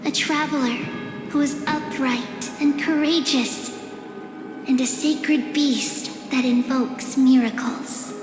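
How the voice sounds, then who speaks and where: A woman voicing a solemn little oracle in a video game, speaking in a reverent, deliberate, ceremonial way. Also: high-pitched, fairly even intonation. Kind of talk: scripted game dialogue. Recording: echoey hall, music behind.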